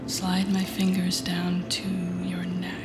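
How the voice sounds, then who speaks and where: A woman playing a telepathic villain, a guarded and intense mentor with a secretly tender side, in an intimate audio roleplay with slow, breathy, deliberate delivery. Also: on the low side, completely flat delivery. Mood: soft, coaxing. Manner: slow, deliberate, often dropping into breathy near-whispers. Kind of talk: intimate audio roleplay acting addressed to the listener. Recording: roomy echo with music behind.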